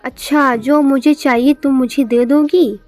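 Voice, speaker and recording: A woman's voice: high-pitched, steady tone, a little slow, darkish tone, soft background music. A woman voicing a greedy, nagging village wife in a cartoon moral story, scolding and demanding. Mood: eager, greedy.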